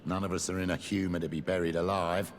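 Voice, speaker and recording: An actor playing a practical, cautious village miner in a fantasy game, speaking in a plain, measured way. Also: male voice, low voice, little pitch movement, big-room sound. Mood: matter-of-fact. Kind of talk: game dialogue.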